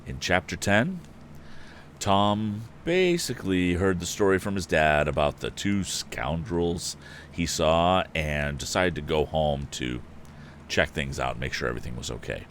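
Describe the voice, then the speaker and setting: A man's voice: sits low, unhurried, a little hiss or hum. A man hosting a live story reading, narrating expressively and dramatically with casual asides. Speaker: host and reader of a live story stream.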